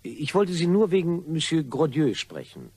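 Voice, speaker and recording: Sly, husky gentleman with swooping intonation. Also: on the high side, very animated, moderate pace. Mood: smoothly insinuating. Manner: probing, mock-courteous, insinuating. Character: sly, insinuating gentleman blackmailer, smoothly polite. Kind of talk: German crime radio play acting.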